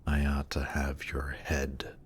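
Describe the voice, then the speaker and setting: Man playing a very deep, suave demon prince. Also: completely flat delivery, slightly rough, exaggerated voice. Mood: quietly menacing. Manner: polished, courtly, condescending, measured. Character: suave, haughty demon prince ruling a circle of Hell. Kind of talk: scripted fantasy roleplay audio drama.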